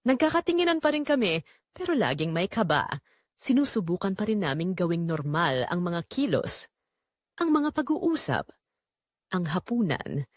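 A woman reading a scripted first-person dramatic story in a measured, expressive storytelling manner. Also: on the low side, no room sound, clean studio sound. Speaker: narrator.

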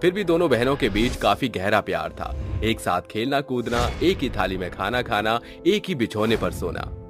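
A man's voice: fairly low pitch, sing-song, normal speed, music in the background. A man reading a scripted story narration.

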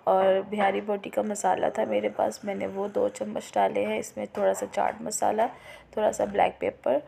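Creaky-voiced Pakistani woman narrating a recipe. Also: medium pitch, flat delivery, moderate pace, mellow. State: unwell voice. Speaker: home cook and video host. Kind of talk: informal recipe voice-over. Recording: noticeable background noise, home recording.

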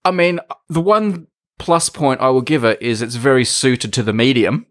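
A man's voice: mid-range voice, normal speed. A man chatting casually as a podcast host. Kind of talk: casual chat.

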